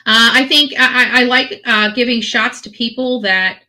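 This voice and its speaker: A woman's voice: fairly high pitch, steady tone, moderate pace, sharp tone. A woman host talking casually and ramblingly to her online followers, earnest and emphatic, often repeating phrases for effect. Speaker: online video channel host.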